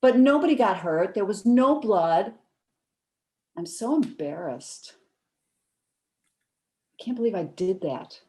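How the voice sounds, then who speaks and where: Coy, slow-speaking woman with lively pitch in a play. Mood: sheepish. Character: coy, sheepish woman guarding a naughty secret. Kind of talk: two-person comic one-act play, conversational acting.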